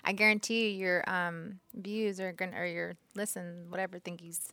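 A woman's voice: lowish voice, flat delivery, normal speed, sharp tone, dry recording. A woman from Texas with a Texan accent, a playful, teasing guest on a casual podcast.

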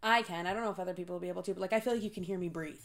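A woman's voice: on the low side, very fast. Chatty young woman with stuffy allergies. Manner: chatty, laughs often, sisterly banter. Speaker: podcast co-host. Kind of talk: casual chat.

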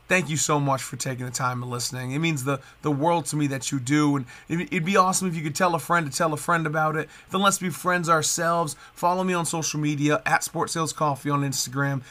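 A man's voice: a little high, fairly even intonation, fast, few pauses, bright, forceful tone. A man in his thirties hosting his own podcast in a chatty, upbeat, rambling way, his voice stuffed up and nasal from a cold. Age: 30s. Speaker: podcast host. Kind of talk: casual solo podcast chat.

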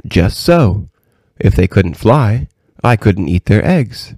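A man voicing a very old, kindly and mysterious great-great-grandmother in a gentle, patient, measured manner. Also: lowish voice, sing-song, slightly rough, no echo, studio quality, full character voice. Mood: kindly, amused.

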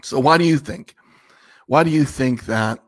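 A man with a slightly raspy voice hosting a casual livestream, rambling conversationally and asking viewers questions. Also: mid-range voice, measured pace. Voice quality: a little raspy. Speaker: livestream host.

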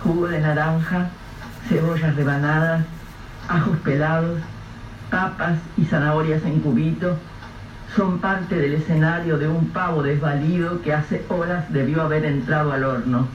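A man narrating a radio drama in a measured, grave storytelling voice. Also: on the high side, steady tone. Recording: echoey, distant, dull lo-fi with faint hiss.

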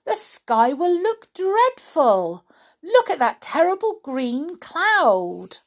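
A woman voicing a group of small, fretful sunset fairies in a high, worried voice. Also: very animated, takes it slowly, full character voice. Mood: dismayed.

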